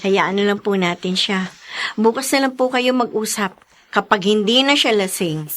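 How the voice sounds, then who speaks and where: A woman playing a feisty, dutiful breadwinner wife in a radio drama, with an emphatic, expressive delivery. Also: medium pitch, moderate pace, close to an everyday voice. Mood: weary, exasperated.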